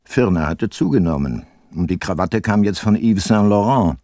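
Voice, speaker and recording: An actor playing a wry, unhurried middle-aged private detective in a radio drama, with a dry, laconic, ironic manner. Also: male voice, fairly low pitch, lively intonation, mellow, dry recording, lightly acted. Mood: wry, reflective. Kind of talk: radio drama narration and dialogue.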